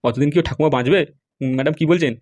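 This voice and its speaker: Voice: medium pitch, racing, a little mellow, dry recording, lightly acted. A performer voicing a fawning, gossipy sidekick in a cartoon comedy, eager and deferential. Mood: tattling. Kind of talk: amateur cartoon comedy dialogue.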